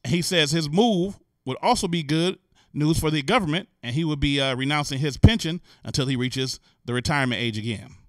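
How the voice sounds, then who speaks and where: Bright, higher-pitched man hosting. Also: a little fast, no room sound. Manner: animated, incredulous, joking, slangy delivery. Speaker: host. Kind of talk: comic commentary on news stories.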